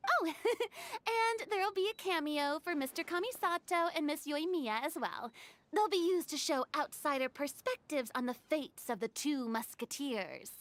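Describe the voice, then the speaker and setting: A very high, bright voice for a young female film director in a video game. She is bossy and theatrical, barking orders with emphatic energy and lively swings of pitch. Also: a little slow. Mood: brisk, commanding.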